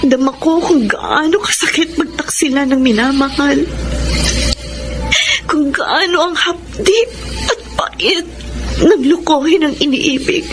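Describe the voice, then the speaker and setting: A tender, heartbroken young woman speaks in a high, tearful voice with a Filipino accent. It is melodramatic radio drama, played over a clear music bed. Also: measured pace, long pauses. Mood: anguished. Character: heartbroken young pregnant woman, tender, self-sacrificing lover.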